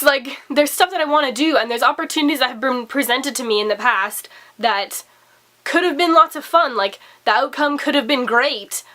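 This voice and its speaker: A young woman talking casually to camera, her voice still hoarse and recovering after being sick, rambling and confessional with lots of fillers. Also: on the high side, sharp tone. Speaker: vlogger.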